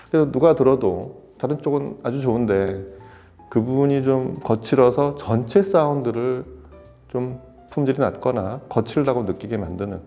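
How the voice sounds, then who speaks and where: A man with a mellow, relaxed tone walks through technical details at an even, explanatory pace, sometimes restarting a phrase. Soft music sits faintly behind his voice. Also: mid-range voice. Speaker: audio shop presenter. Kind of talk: explanatory product talk.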